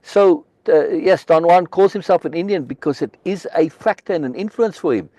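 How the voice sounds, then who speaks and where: A man's voice: on the high side, expressive, normal speed, warm tone, no room sound. A man from Africa, hosting a live book reading discussion on a video call, speaking emphatically and insistently and repeating key words for force. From South Africa.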